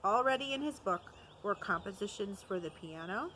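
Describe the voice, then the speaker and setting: Teacher lady reading a kids' story out loud, bright voice, steady pace. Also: mid-range voice, normal speed, slight background noise. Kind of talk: storybook read-aloud narration.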